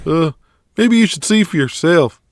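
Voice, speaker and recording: Man voicing a high-pitched, hesitant, eager underling. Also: expressive, moderate pace, slight background noise. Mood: nervous, hesitant. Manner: hesitant, stammering. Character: timid, eager male wrestling-promotion assistant.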